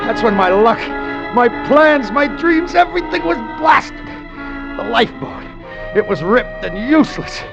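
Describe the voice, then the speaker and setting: A scheming, treasure-mad radio drama sailor. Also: male voice, extremely high pitch, very animated, measured pace, vocal fry, highs cut off, full character voice. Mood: desperate. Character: Danny, scheming treasure-mad sailor turned gunman, confessing his crime. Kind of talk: old-time radio drama acting with first-person narration. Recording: vintage radio broadcast with music.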